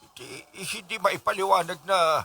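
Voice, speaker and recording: A man's voice: sits high, steady tone, moderate pace, vocal fry, bright, forceful tone, heavily acted. A man with a Filipino accent acting an agitated, stern figure of authority in a radio melodrama, emphatic and stammering. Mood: puzzled, halting. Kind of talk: Tagalog radio drama acting.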